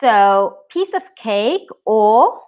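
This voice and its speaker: A woman whose native language is English, teaching a live online IELTS lesson, speaking slowly and clearly and cheering her students on. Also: on the high side, very animated, darkish tone, no echo. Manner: slow, clear, encouraging, reads out student comments.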